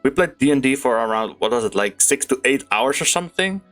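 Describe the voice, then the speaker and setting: A woman's voice: extremely low pitch, expressive, fairly quick, fairly bright tone. A woman doing casual live game commentary with slangy, informal talk, sometimes reading text off the screen. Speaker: gaming streamer playing a story game. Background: game music.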